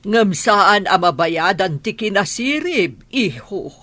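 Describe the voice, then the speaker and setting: An actor playing a stern, doubting old grandmother who nags in a husky voice, in a comedy radio drama. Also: medium pitch, big pitch swings, slow, pushed and bright, dry recording, exaggerated voice. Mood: doubtful, admonishing. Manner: nagging, admonishing.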